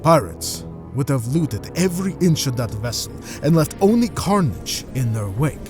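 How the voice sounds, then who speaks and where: A man's voice: medium pitch, natural voice. Man playing a solemn, haunted sailor recounting tales. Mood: grave, uneasy. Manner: measured, solemn, formal phrasing. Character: haunted sailor, first mate, recounting a sea tale. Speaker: narrating character in an audio drama. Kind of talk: dramatic scripted first-person storytelling.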